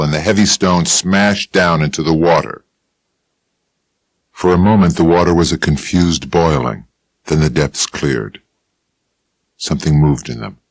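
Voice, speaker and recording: A man reading a first-person audiobook in a laconic, dry, unhurried manner, recorded in a studio. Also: extremely low pitch, no echo. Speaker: audiobook narrator.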